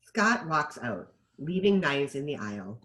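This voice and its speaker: A man's voice: mid-range voice, sing-song, unhurried, sharp tone. A man narrating scripted stage directions as the narrator of a table read.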